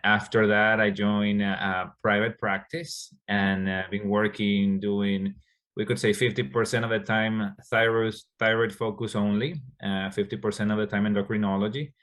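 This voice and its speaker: A man from Ecuador, a Spanish speaker, answering interview questions as a doctor, halting with many fillers and restarts. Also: fairly low pitch, flat delivery, fairly bright tone. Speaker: endocrinologist guest.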